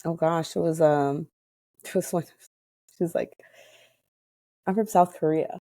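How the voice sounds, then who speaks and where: Low, mellow American woman telling stories casually. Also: fairly even intonation, slow, no room sound. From Hawaii. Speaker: interview guest. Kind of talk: casual personal storytelling in conversation.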